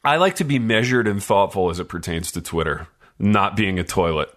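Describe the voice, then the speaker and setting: Guy hosting a podcast, kinda low but sharp bright voice, jokey slangy dude talk, deadpan sarcasm. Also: fairly quick. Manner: jokey, slangy, addresses co-hosts as dude and baby. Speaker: podcast host. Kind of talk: casual podcast banter.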